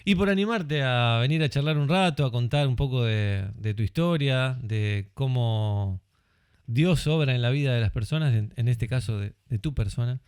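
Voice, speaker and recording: Uruguayan male podcast host, chatty and friendly, dry close studio sound, mid pitch. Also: moderate pace, a little mellow, clean tone, no echo. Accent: Uruguayan Spanish. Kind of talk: casual chat.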